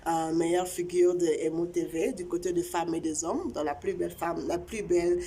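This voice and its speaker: Woman, of congo, tshiluba speaker, lowish voice, halting casual talk, faint background hiss. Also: fairly even intonation, mellow. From Congo (DRC). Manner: halting, many repeated words and fillers. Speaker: channel host. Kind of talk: casual chat to viewers.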